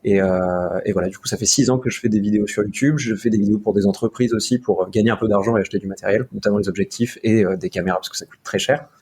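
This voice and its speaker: A man's voice: lowish voice, steady tone, very fast, few pauses, a little mellow, breathy edge. A young man from Marseille talking casually as an interview guest about his video work, rambling with many fillers and restarts. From France, Marseille.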